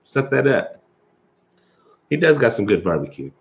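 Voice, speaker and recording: A man's voice: medium pitch, sing-song, moderate pace, gravelly, highs cut off. A man hosting a live stream, chatting loosely with viewers, chuckling often and coughing from allergies. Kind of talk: casual chat with live viewers.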